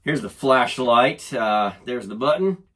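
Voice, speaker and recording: A man's voice: medium pitch, lively intonation, measured pace, a bit bright. A man giving a casual, folksy hands-on demonstration as a DIY host, often talking half to himself. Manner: folksy, talks to himself while working. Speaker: DIY channel host and product reviewer.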